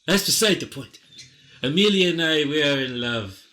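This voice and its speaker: A man's voice: fairly high pitch, expressive, moderate pace, pushed and bright. A man playing a bossy, dramatic armed escort in an improvised radio play, declaiming his lines. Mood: commanding.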